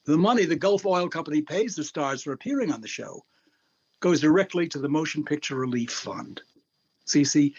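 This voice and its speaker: A man's voice: on the high side, breathy edge, highs cut off. An actor playing a genial male master of ceremonies on a 1930s Hollywood radio show, with polished, good-natured patter.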